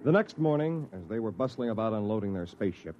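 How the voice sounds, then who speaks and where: An actor playing an eager, friendly stranded Earthman in 1950s radio drama style, expressive and earnest. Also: lowish voice, fast talker, natural voice. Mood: earnest, rueful. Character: eager, friendly castaway Earthman narrating his own story. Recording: dull, noisy vintage radio recording.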